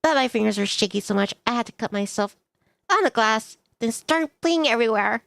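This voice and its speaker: A woman's voice: fairly high pitch, animated, fairly quick, vocal fry, a bit bright, no room sound, clean studio sound. A woman streaming a visual novel, reading the text aloud with asides to viewers and stumbling over some words.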